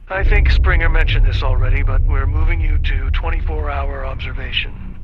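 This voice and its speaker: A man's voice: a little high, normal speed, lightly acted. A man playing an apologetic clinician in a video game, hesitant and placating in a cautiously official way. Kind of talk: video game cutscene acting. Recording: muffled radio-like sound, loud background noise.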